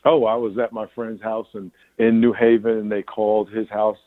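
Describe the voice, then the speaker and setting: A man's voice: lowish voice, level tone, normal speed, no echo. Older man pastor on a tinny phone line. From New York. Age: 60s. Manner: candid, rambling with restarts and laughs. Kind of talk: interview answers.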